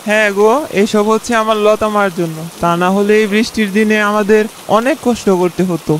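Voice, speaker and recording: A man's voice: sits high, fairly even intonation, fairly quick, a little hiss or hum, pitched above the performer's own voice, lightly acted. A man voicing a practical, pious village wife and mother in a cartoon. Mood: grateful.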